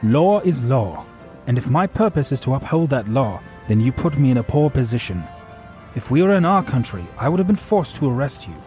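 A man's voice: mid-range voice, big pitch swings, fairly quick, dark tone, soft background music, pitched above the performer's own voice, natural voice. A man voicing a dignified male knight who speaks formally and scolds, with his voice raised above his own.

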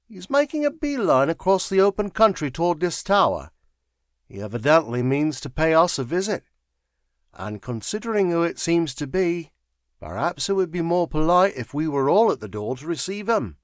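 Guy doing a sleepy nonchalant secretary for an audiobook, mild vague drawl, pitch swings a lot. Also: very animated, normal speed, no echo, clean studio sound. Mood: mild, airy. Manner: leisurely, vague, drowsy drawl. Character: languid, mild, airily nonchalant male private secretary.

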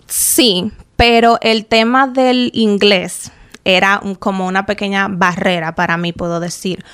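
Young Dominican woman, smooth clear voice, earnest and reflective radio interview guest, pauses with fillers. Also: mid-range voice, fairly even intonation, fairly bright tone. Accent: Dominican Spanish. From Dominican Republic. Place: radio studio.

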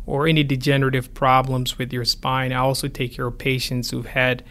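A man you'd hear as the expert guest on a podcast, answering in an even-pitched conversational voice. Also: a little fast. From Ghana. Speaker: neurosurgeon, interview guest.

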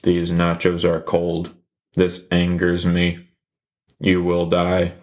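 A cold killer who speaks in a dead-level monotone, played by a man. His voice stays low and slow and never rises, delivering every threat with flat robotic calm. It is deadpan to the core. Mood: flat menace.

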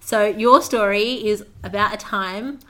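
A woman's voice: medium pitch, a little slow, fairly bright tone. A young woman with an Australian accent, hosting a podcast in a casual, chatty style. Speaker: podcast host. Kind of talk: casual chat.

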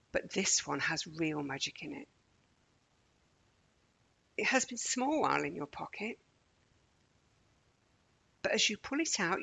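A woman leading a children's guided relaxation in a gentle, soothing, measured manner with deliberate pauses. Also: fairly low pitch, gravelly, breathy edge. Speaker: meditation guide.

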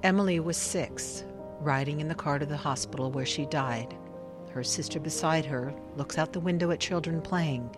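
Lowish-voiced, probing woman researcher played by an actress. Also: close to an everyday voice. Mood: insistent. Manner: measured, pressing, questioning. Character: probing, composed, insistent female researcher conducting an interrogation. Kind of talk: radio drama acting. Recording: music behind.

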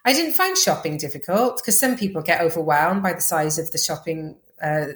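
A woman with a Welsh accent, chatting quickly as a podcast guest, full of fillers and restarts. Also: sits low, expressive, fairly bright tone, echoey room. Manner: fast-talking, chatty, lots of fillers. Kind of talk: casual interview chat.

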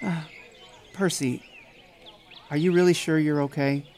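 Man playing an earnest, higher-voiced devoted best friend. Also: steady tone, measured pace, darkish tone, a little hiss or hum. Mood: tender concern. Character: devoted, excitable, caring young male friend. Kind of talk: audio drama acting.

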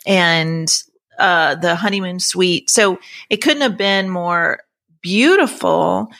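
A woman in her sixties telling personal stories conversationally as a podcast guest, halting and reflective with trailing pauses. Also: lowish voice, gravelly, fairly bright tone. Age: 60s.

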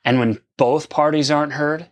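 A man's voice: on the high side, fairly even intonation, normal speed, no echo. A man co-hosting a relationship advice talk, speaking in an earnest, casual way and getting emphatic when he quotes arguments. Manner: earnest, self-deprecating, emphatic when quoting. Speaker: podcast co-host. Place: lives in an RV park.

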